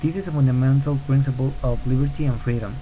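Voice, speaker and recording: Earnest young man from Ecuador, mellow. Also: medium pitch, quick pace, rough recording. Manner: earnest, steady reading with occasional slips and restarts. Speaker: podcaster. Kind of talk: read-aloud argumentative podcast. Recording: faint hiss on a home recording.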